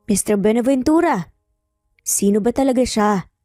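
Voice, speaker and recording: A man's voice: very high voice, moderate pace, dark tone, no room sound, clean studio sound, faint music behind. A woman voicing an amused, curious and admiring wealthy male investor in an audio story.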